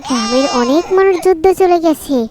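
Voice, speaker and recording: A woman's voice: high-pitched, animated, brisk, background noise. A woman voicing an earnest, dutiful young village schoolgirl in a cartoon, speaking in a polite, eager, childlike way. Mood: worried. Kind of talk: cartoon voice acting.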